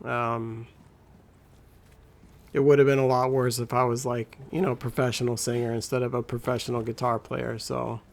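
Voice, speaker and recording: A man with a scratchy, gravelly voice giving laid-back, rambling commentary as a gameplay guide. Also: mid-range voice, flat delivery, normal speed. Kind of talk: casual walkthrough commentary.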